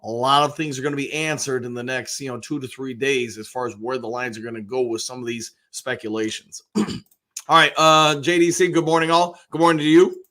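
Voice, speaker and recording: An American man with a slightly hoarse voice, chatting in a rambling, conversational way as he hosts a casual live sports fan show. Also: medium pitch, level tone, a little fast, bright, forceful tone. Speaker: sports show host, basketball coach and realtor.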